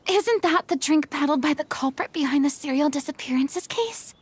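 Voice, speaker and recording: A woman's voice: sits high, lively intonation, normal speed. A woman playing an imperious, exasperated young stage star in a video game, commanding, theatrical and articulate. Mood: concerned. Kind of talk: dramatic English video game dubbing.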